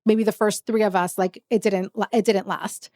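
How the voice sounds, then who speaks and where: A woman's voice: mid-range voice, steady tone, moderate pace, mellow. A woman hosting a casual interview, originally a Yiddish speaker from Texas, asking curious, eager questions with lots of fillers. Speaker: podcast host.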